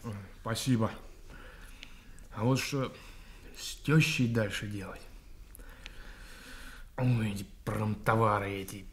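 A man's voice: fairly low pitch, fairly even intonation, slight background noise. Plaintive, henpecked young policeman. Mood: grateful, sheepish. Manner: plaintive, halting, repeating himself. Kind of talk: radio drama acting.

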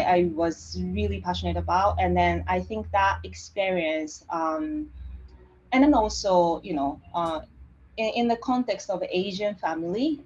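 A woman from Japan with a Japanese accent, a guest in an interview, speaking from her home office in a halting way with many fillers and restarts. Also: fairly low pitch. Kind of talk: conversational interview. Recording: dull lo-fi audio.

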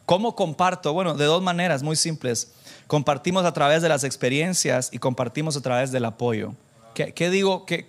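A man preaching informally to a church congregation, animated and self-deprecating, bantering with listeners and dropping in English phrases. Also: on the high side. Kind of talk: informal conversational sermon with anecdotes.

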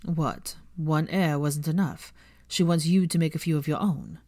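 A woman's voice: low-pitched, measured pace, dark tone, no echo. Woman reading as a haughty, sardonic young wizard. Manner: scoffing, dry.

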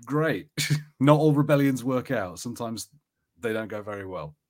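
A man chatting casually as a podcast host, rambling conversationally with halting, restarted sentences. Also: medium pitch, expressive, a little mellow. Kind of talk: casual chat.

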